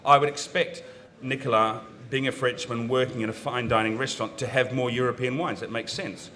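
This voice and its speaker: A man with a New Zealand accent talks on a seminar panel about wine lists. His voice is bright and forward, and his pitch sits mid-range. He is frank and opinionated, but he keeps an easy, conversational tone. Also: fairly even intonation, brisk. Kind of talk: panel discussion. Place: seminar room.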